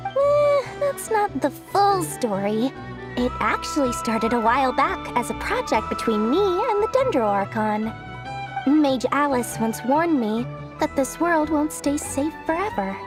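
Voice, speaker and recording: High, animated little merchant girl. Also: a little slow. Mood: explaining, brisk. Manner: boastful, theatrical. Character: scheming, cheerful young female merchant, small and shrewd. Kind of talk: animated video game acting. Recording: game music behind the voice.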